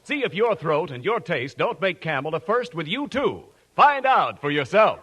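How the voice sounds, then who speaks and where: Bright, lively 1940s radio announcer. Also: male voice, high-pitched, normal speed. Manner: smooth, emphatic announcing. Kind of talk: 1940s radio announcer delivering sponsor copy.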